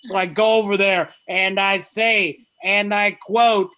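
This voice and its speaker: A man closing a stand-up set with slow, deadpan delivery. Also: high-pitched, clear, resonant tone. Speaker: stand-up comedian.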